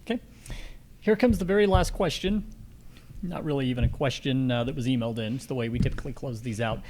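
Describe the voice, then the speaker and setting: A man's voice: medium pitch, fast, darkish tone, a little hiss or hum, poor audio. An American man moderating a candidate forum, putting questions to the speakers and keeping time. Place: live candidate forum on a college campus.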